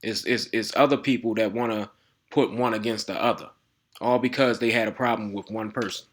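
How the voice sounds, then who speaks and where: A man with giving a casual lecture to viewers. He reads text aloud haltingly, with restarts, and keeps asking "all right?". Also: lowish voice, steady tone. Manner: talks informally, often asking "all right?". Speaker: video host.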